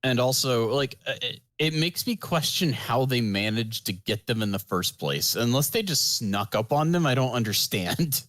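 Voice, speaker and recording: A man's voice: medium pitch, animated, moderate pace, fairly bright tone, no echo. A man co-hosting a podcast, chatting casually in an understated, somewhat hesitant way. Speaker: podcast co-host. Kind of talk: casual chat.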